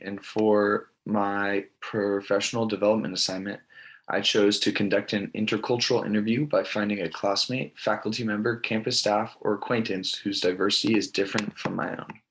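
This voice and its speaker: A man's voice: fairly low pitch, a bit bright, slight background noise, poor audio. A man, giving an informal spoken presentation in a plain, matter-of-fact, casual way. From California, United States. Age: early 20s. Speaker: college student presenting an assignment.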